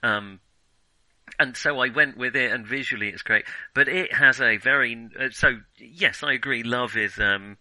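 A man co-hosting a casual film discussion, speaking in a hesitant, halting way with thoughts that trail off. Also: mid-range voice, sharp tone, no echo, rough recording. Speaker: film podcast co-host.

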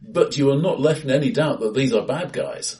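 A man co-hosting a casual film discussion in a bright-sounding room, with a measured, wry, analytical manner. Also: mid-range voice, lively intonation. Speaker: podcast co-host. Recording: distant, bright echoey room.

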